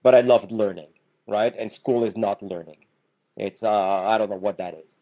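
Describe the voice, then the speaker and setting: A man's voice: on the low side, moderate pace, mellow. A middle-aged man from Canada, a podcast guest who explains his points earnestly and often restarts his words. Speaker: podcast guest, business founder. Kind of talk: casual podcast conversation.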